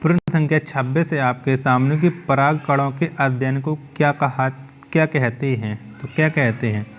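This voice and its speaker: A man's voice: mid-range voice, slight background noise. A male teacher reading out exam quiz questions, then repeating each question and its answer back to the viewer.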